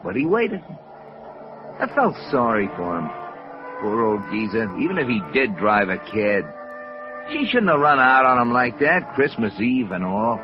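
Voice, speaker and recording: A man's voice: medium pitch, very animated, unhurried. A man acting a talkative, sympathetic filling station attendant in a 1950s radio drama, with casual, slangy talk. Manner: casual, slangy, plain-spoken. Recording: dull old radio recording with music.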